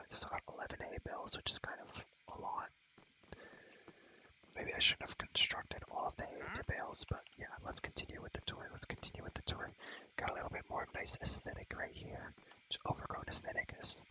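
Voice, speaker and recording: Fast whispering young ASMR guy. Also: pushed and bright, low-quality recording. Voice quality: breathy, unvoiced whisper. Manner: rambling, repeats phrases over and over, slangy. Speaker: ASMR gamer and host. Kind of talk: whispered ASMR gameplay commentary.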